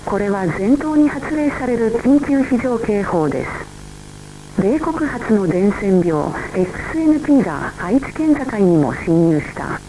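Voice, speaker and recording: A woman's voice: medium pitch, sing-song, moderate pace, long pauses. A woman playing a formal emergency alert announcer, reading out scripted instructions. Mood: grave, formal. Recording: noisy radio-style emergency broadcast.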